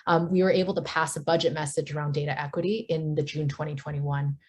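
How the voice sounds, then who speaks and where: Low-voiced woman talking shop in a casual interview. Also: steady tone, quick pace, a little mellow. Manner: rambling, many fillers and restarts. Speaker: guest, city data equity lead.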